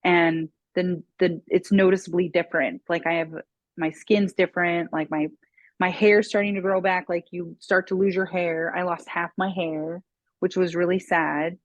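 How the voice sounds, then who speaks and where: A woman's voice: on the low side, fairly even intonation, moderate pace, no echo. A woman speaking as a guest expert in a casual podcast chat, giving emphatic, matter-of-fact advice. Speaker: guest expert on mold and parasites.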